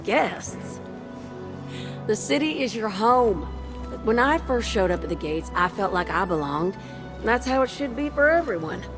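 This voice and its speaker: A woman's voice: on the high side, very animated, measured pace. A friendly young pilot in a game cutscene. She has a drawl and talks in a casual, earnest way, with music underneath. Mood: reassuring. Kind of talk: video game cutscene acting.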